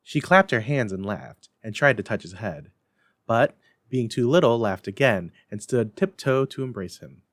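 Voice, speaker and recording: A man's voice: fairly low pitch, normal speed, no room sound. Guy narrating a classic story, theatrical, voice moves up and down a lot, dry sound. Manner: theatrical, expressive. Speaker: library staff storyteller and host. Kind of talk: dramatic scripted narration.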